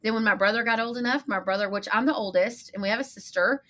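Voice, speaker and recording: A woman's voice: a little high, fast, fairly bright tone. A middle-aged woman from East Texas with a matching accent, a podcast guest chatting casually and laughing between phrases. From East Texas, USA. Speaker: podcast guest, marketing agency leader. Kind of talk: casual conversational interview.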